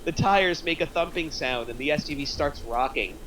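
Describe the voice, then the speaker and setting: A man's voice: fairly high pitch, normal speed, a bit bright. A man reading screenplay action lines aloud as the narrator of a table read. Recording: distant mic, faint background noise.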